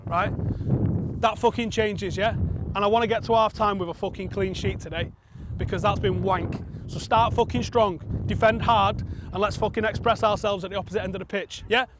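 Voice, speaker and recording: A man, a football coach giving a blunt, sweary pre-match team talk outdoors on a pitch. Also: steady tone, brisk. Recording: outdoor pitch with faint background noise.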